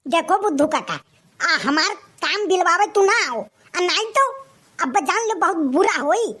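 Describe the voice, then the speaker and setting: Woman playing cartoon village lad, very high-pitched, sharp, bragging, Awadhi accent, scheming wannabe thug. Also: expressive, measured pace, full character voice. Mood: threatening. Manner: bragging, pushy. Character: scheming, boastful young male village wannabe gangster. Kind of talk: comic cartoon dialogue.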